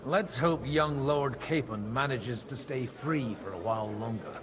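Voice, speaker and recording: An actor playing a shrewd, worldly priest in a medieval video game, wry and persuasive in manner. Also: male voice, medium pitch, a little slow, lightly acted. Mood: wry, gossipy. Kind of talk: dramatic video game voice acting.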